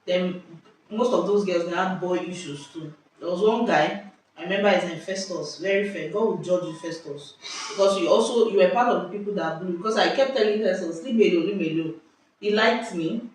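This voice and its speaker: A young woman with a Nigerian accent tells a story in an animated, exclamatory way, cutting herself off and restarting. Her voice bounces around a very echoey room. She sits some way from the mic, and a faint hiss runs underneath. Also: lowish voice, brisk, far from the mic. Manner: lively, exclamatory, self-interrupting. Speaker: vlogger. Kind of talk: casual storytelling chat. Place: indoors at home.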